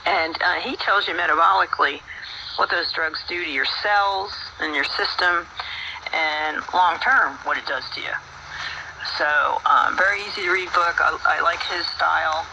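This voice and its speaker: A woman's voice: low-pitched, moderate pace, gravelly, lo-fi sound, noisy background. A woman hosting a radio show, talking casually in a folksy, earnest and devout manner. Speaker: radio host and herbalist. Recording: radio broadcast with prominent music.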